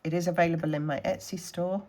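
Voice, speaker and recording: A woman from the Scottish Highlands, a little snuffly from a cold, chatting casually through her budgeting challenges and counting money aloud. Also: steady tone, fast talker, darkish tone. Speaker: budgeting vlogger.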